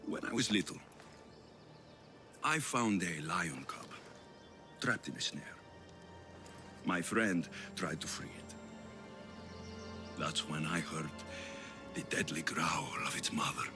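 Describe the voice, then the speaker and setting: A man's voice: very deep voice, takes it slowly, pauses often. An actor playing a bitter, volatile young warrior convinced of his destiny in a dramatic video game cutscene, scornful and intense. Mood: dark, menacing. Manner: scornful, intense, swinging from shouts to menacing whispers. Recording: loud music behind the voice. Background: dramatic music.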